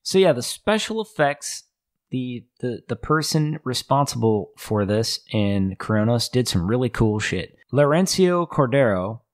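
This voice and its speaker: A man chatting on a casual podcast, rambling with plenty of hesitations and frequent laughter. His pitch sits in the middle range. The sound is dry and close. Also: big pitch swings, measured pace, no echo. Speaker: podcast co-host. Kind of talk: casual chat.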